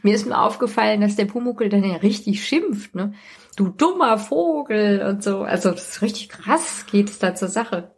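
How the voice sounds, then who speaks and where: A woman's voice: mid-range voice, expressive, moderate pace, slightly rough. A woman co-hosting a podcast in casual, conversational chat. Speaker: podcast co-host.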